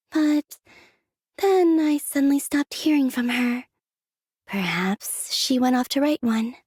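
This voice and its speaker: A woman voicing a gentle, dreamy young moon maiden from a video game, speaking softly and airily in an unhurried, serene way. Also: on the high side, no room sound, clean studio sound. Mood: mild, musing. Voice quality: soft, breathy. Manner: unhurried, airy, serene, delicate. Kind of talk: scripted video game character voice lines.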